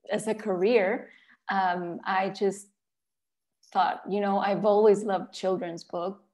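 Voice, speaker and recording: Female author, Mexican accent, native Spanish speaker, gravelly fry in the voice, conversational interview delivery. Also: fairly low pitch, darkish tone.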